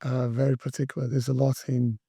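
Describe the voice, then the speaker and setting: Brazilian guy, middle-aged, really gravelly low-key dark voice, stops and restarts a lot. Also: little pitch movement, no echo, studio quality. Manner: halting, many restarts and fillers. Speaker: guest. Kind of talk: interview conversation.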